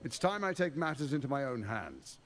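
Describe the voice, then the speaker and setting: An actor playing a menacing, pompous tyrant villain in a dramatic video game scene. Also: male voice, medium pitch, big pitch swings, brisk, a little hiss or hum. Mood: menacing, bitter. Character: vengeful, grandiose tyrant villain, menacing and theatrical. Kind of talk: dramatic game cutscene acting.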